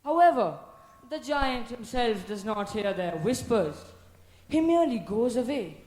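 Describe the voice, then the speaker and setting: A narrator in a school play, reciting a story in a measured, declamatory way. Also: medium pitch, lively intonation, a little mellow, a little hiss or hum.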